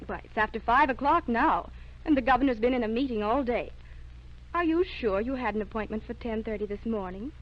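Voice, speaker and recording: An actress playing a brisk, businesslike, officious switchboard receptionist in an old-time radio drama. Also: a little high, close to an everyday voice. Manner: businesslike, offhand. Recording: dull vintage radio recording.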